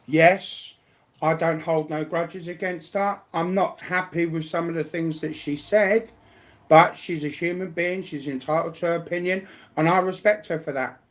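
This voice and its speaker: A man's voice: fairly high pitch, fairly even intonation, normal speed, a bit bright. A blunt, sweary man chatting casually as a guest on a livestream.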